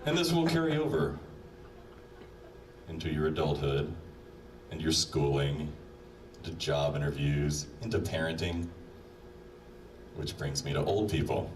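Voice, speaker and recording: A man's voice: a bass voice, animated, a little slow, long pauses. A man delivering a humorous, admonishing monologue with mock-stern manner, addressing the listener directly. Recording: roomy, distant playback with crowd noise.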